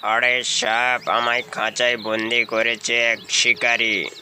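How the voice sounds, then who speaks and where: A man voicing a big, grave tiger in a children's cartoon fable, with slow, drawn-out, emphatic delivery. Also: medium pitch, monotone, bright, forceful tone, slight background noise. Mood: pleading. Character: caged male tiger, big, grave and grateful.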